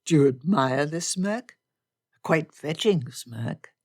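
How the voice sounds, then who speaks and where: A woman voicing a proud, ardent gentleman suitor in a period romance audiobook, with formal, measured, courtly phrasing. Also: low-pitched, expressive, slightly rough, no room sound, studio quality, exaggerated voice. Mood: wry, teasing.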